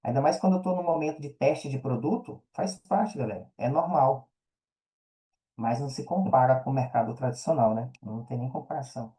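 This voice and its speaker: Mellow-voiced Brazilian man, casual and earnest. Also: mid-range voice, a little fast. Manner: colloquial, earnest, repeats phrases for emphasis. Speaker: podcast guest, affiliate marketer and mentor. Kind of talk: casual podcast conversation.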